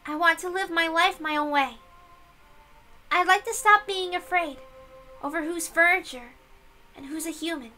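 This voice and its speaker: A woman's voice: extremely high pitch, moderate pace, pauses often, sharp tone, soft background music, voice pushed up. A woman voicing an earnest, insistent young female servant with a big-sisterly air, in a visual novel reading. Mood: earnest, hopeful. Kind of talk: visual novel read-aloud character voice.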